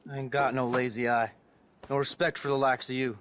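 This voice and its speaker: A man's voice: mid-range voice, little pitch movement, fairly quick, fairly bright tone, slight background noise, close to an everyday voice. An actor playing a vengeful teenage farm boy in a game, insolent and bitter. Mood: defiant. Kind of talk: dramatic game cutscene acting.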